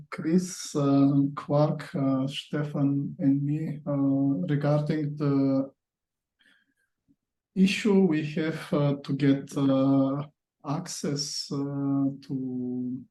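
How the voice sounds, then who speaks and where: Guy running a work call, really slow and halting, soft dark voice. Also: fairly high pitch, echoey room, rough recording. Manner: halting, frequent pauses. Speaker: meeting chair. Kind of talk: work meeting discussion. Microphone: online call.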